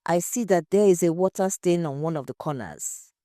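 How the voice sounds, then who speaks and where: Narrator lady as a woman detective, direct and probing, low mellow voice. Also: moderate pace, no room sound, studio quality, natural voice. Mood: polite. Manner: direct, questioning. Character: composed, probing female investigator.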